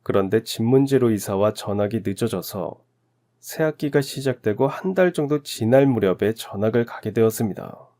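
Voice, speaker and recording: A man from Daegu tells a first-person story in a calm, reflective, confessional way. His voice sits a little low, with a soft, warm and mellow tone. The reading is steady and scripted. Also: normal speed. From Daegu, South Korea. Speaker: story narrator.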